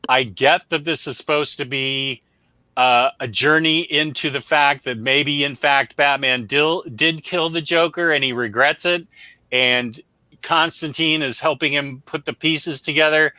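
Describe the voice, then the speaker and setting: A man's voice: on the high side, steady tone, measured pace, pushed and bright, no room sound. A man co-hosting a casual, unscripted review show, chatting with viewers in a blunt, opinionated, emphatic way. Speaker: co-host of a comic book review show.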